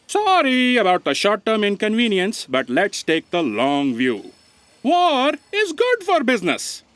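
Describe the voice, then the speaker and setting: A woman's voice: mid-range voice, huge pitch swings, slow, no room sound. A woman playing a cynical, long-suffering copy aide with a dry, sardonic manner in a scripted comedy audio drama. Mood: reading officiously.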